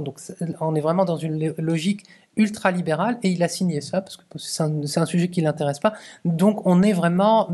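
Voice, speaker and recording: A man's voice: a little high, darkish tone. Hesitant man. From Tunisia. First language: Tunisian Arabic. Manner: hesitant, many restarts and fillers. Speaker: essayist answering audience questions. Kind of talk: conference talk.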